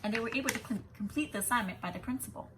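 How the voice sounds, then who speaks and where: A woman teaching young children, reading a picture book aloud expressively and explaining as she goes in an animated way. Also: a little high, racing, heavy background noise. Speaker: kindergarten teacher.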